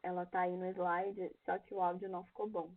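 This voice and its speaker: A woman's voice: on the low side, little pitch movement, brisk. A young woman with a Brazilian Portuguese accent teaching an English exam review class, reading lines in English and explaining them. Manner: reads English lyrics aloud, then translates and explains each line. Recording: tinny phone-like online class audio.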